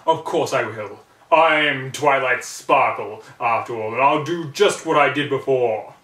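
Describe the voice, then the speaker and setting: A man reads as an arrogant young unicorn mare from a storybook. She is cocky and self-assured, at a middling pitch in an echoey room. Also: measured pace, pushed and bright. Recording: roomy, distant mic.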